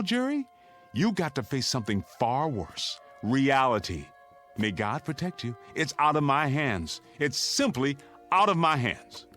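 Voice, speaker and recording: An actor playing a stern, resolute suburban father in a radio drama, speaking gravely and firmly. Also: mid-range voice, fairly bright tone. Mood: bitter, reproachful.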